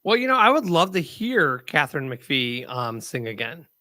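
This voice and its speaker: A man's voice: a little high, very animated, moderate pace, pushed and bright, no room sound. A man co-hosting a casual chat, chiming in with viewer comments.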